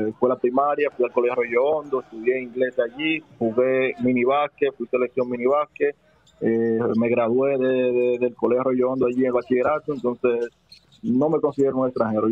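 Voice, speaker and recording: A young man with a Dominican Spanish accent, answering questions at a studio desk in a halting, restart-filled way. His voice sits mid-pitch and sounds narrow and boxy, as if on a phone line, with a dry, close room. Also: no room sound. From Dominican Republic. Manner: hesitant, many restarts and fillers. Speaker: basketball player guest. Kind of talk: casual interview answers. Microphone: headset and desk mic.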